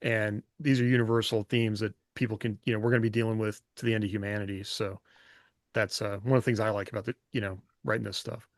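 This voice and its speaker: A man's voice: fairly low pitch, flat delivery, quick pace, no echo. Reflective man chatting on a dry-sounding podcast. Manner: reflective, rambling, hedging with rhetorical questions. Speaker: podcast host and author. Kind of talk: casual podcast discussion.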